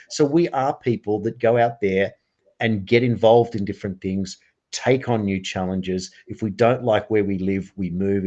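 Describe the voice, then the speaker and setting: A man's voice: fairly low pitch, darkish tone, muffled recording. A man hosting a reflective spiritual discussion, speaking earnestly and emphatically with rhetorical questions. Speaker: interview host.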